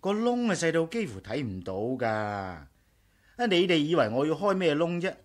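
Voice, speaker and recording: An actor with a Hong Kong Cantonese accent playing an eager, stubborn engineer in a 1980s radio drama. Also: male voice, a little high, sing-song, normal speed. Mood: exasperated, insistent. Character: eager, stubborn male engineer, proud of his gadgets.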